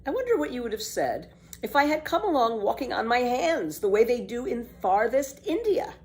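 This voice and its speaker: Boastful, carefree little girl, acted by a woman, storybook tall-tale teller, emphatic, very animated, raised pitch. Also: normal speed. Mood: indignant boasting. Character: bold, boastful, exuberant little girl telling tall tales. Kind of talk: children's storybook read-aloud.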